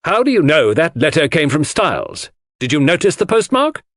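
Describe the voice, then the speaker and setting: Man acting a bullying pompous prosecutor, pitched up high. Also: very animated, moderate pace, a bit bright, dry recording, studio quality. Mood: hectoring, triumphant. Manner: hectoring, insistent cross-examination. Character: ponderous, bullying male prosecuting counsel, relentless and pompous.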